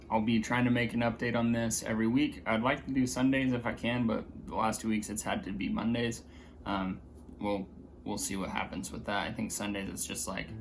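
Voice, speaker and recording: A man with a slightly raspy voice chatting casually in a vlog, hesitant, with many fillers and restarts. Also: lowish voice. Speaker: vlogger.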